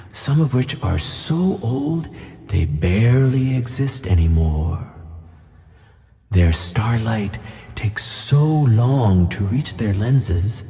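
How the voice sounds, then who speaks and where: A man playing a huge, gentle old flying tuna, wise and wistful, with a deep, gravelly voice in a children's audio play. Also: full character voice. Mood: grave, explaining. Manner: slow, measured, kindly. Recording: long hall reverb on voice.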